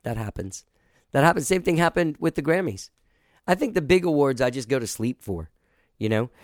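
A hoarse man hosts a casual talk show, chatting in a loose, rambling way with plenty of "uh"s and restarts. His voice sits in an ordinary middle pitch. The recording is dry, with little room sound. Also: animated, a little fast, dry recording. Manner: rambling, enthusiastic, with many fillers and restarts. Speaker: show host.

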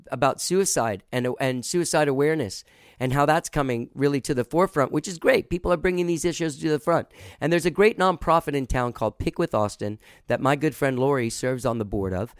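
A man hosting a casual local radio show, hoarse from rehearsing and singing, rambling enthusiastically with lots of fillers and restarts. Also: fairly high pitch, a little fast, no room sound, clean studio sound. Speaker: show host.

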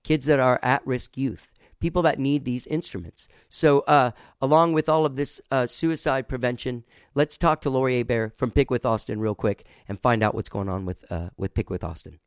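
A man hosting a casual local radio show, hoarse from rehearsing and singing, rambling enthusiastically with lots of fillers and restarts. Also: medium pitch, moderate pace, hardly any pauses, no echo, clean studio sound. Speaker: show host.

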